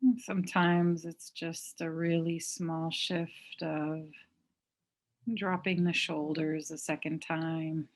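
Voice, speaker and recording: A woman teaching mindfulness, leading a guided meditation in a gentle, unhurried manner with long pauses. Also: low voice, little pitch movement.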